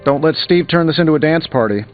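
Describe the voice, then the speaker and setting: An actor playing a glib, quippy billionaire hero in a video game, with wry, flippant banter. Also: male voice, fairly high pitch, lively intonation, fast, close to an everyday voice. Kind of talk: video game character dialogue. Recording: slightly dull, music behind.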